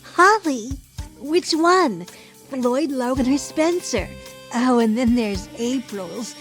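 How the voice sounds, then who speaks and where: Woman playing an agitated, high-voiced woman. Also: lively intonation, takes it slowly, soft background music, full character voice. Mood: searching. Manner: emphatic, dramatic. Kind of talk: audio drama demo monologue.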